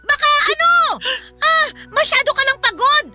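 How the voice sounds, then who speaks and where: A woman's voice: extremely high pitch, normal speed, bright, forceful tone, faint music behind, full character voice. An actress playing a stern, scornful middle-aged aunt who fiercely defends her son in a radio drama. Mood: dismissive scolding. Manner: scornful, accusing, dismissive. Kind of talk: radio drama dialogue in Tagalog.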